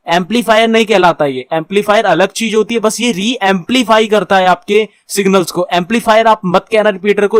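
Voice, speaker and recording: Male instructor, Indian accent, high-pitched voice, dry close sound, explanatory tutorial delivery, informal and repetitive. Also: dry recording. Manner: reads English points slowly, then explains informally with repetition. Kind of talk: explanatory tutorial lecture mixing Hindi and English.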